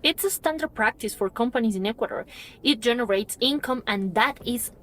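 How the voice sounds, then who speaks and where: A woman's voice: fairly high pitch, expressive, brisk, fairly bright tone, rough recording. A woman playing a defensive, pragmatic bank employee in a podcast drama. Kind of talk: acted podcast drama dialogue. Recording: clear background noise, ambience.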